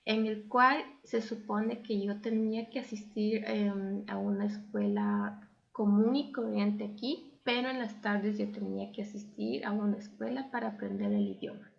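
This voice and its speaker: Young Ecuadorian woman, very creaky voice. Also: mid-range voice, sing-song, normal speed, dull audio. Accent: Ecuadorian Spanish. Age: young adult. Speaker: interview guest. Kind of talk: conversational personal storytelling and advice.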